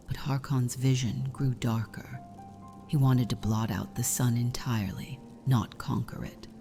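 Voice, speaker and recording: A woman playing a solemn vampire mother in a fantasy game roleplay, speaking in a grave, measured, breathy voice that often nears a whisper. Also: extremely low pitch, warm tone. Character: solemn vampire mother confessing her past to her daughter. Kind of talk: scripted fantasy roleplay dialogue.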